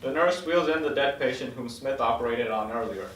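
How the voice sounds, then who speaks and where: Fast-reading male narrator in an echoey room. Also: mid-range voice, a bit bright. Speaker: reader of stage directions. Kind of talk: scripted narration at a table read. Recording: echoey room, distant mic, faint noise.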